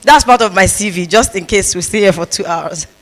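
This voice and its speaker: Woman, pastor at a conference, storytelling with humor, repeats phrases, mid-pitched voice, long hall reverb. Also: a little fast, faint music behind. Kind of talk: conference keynote talk.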